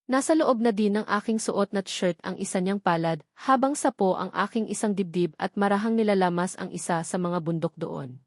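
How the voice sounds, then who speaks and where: A woman's voice: medium pitch, moderate pace, no room sound, clean studio sound. A woman with a Filipino accent reading a scripted first-person story as an audiobook narrator.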